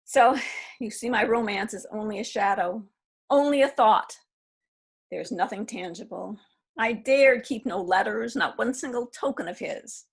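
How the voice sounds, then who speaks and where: Husky, somewhat high-voiced woman reading an aging romantic lady, wistful, for a stage reading. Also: a little slow, exaggerated voice. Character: aging woman pining for a lost love. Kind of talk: readers theatre reading.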